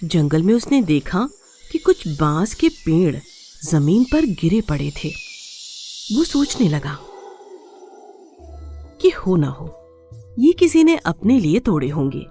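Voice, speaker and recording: Slow, expressive woman storyteller. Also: fairly low pitch. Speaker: narrator. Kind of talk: scripted children's moral story narration in Hindi.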